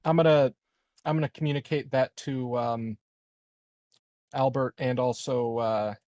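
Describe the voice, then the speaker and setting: A man's voice: medium pitch, steady tone, unhurried, a little mellow, dry recording. Man chatting over a game, dry close sound. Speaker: tabletop RPG player. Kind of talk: casual game chat.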